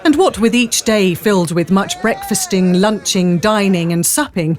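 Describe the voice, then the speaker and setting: A woman narrates a tale for an audio drama. Her voice sits at a medium pitch as she delivers scripted storytelling prose. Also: moderate pace, a little mellow.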